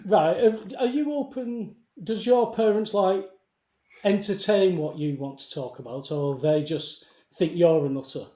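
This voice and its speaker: Male co-host, high voice, teasing banter, roomy echo. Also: mellow. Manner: teasing, laughing, quick interjections. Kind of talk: casual banter on a live online show.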